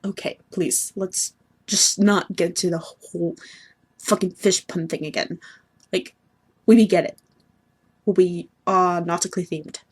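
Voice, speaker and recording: A woman's voice: lowish voice, steady tone, a little slow, pauses often, slightly rough. A woman voicing a haughty young male sea troll who schemes and sulks, pompous and petulant, in amateur webcomic acting. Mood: irritated. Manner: pompous, petulant, self-pitying.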